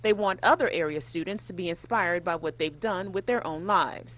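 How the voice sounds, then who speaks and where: Woman news reporter, dull audio. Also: normal speed. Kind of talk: scripted news narration. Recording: dull, muffled low-fidelity audio.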